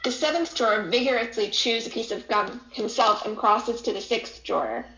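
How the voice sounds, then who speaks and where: A woman's voice: on the high side, moderate pace, pushed and bright, slight background noise. A woman reading stage directions as a scripted narration. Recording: echoey room, dull lo-fi audio.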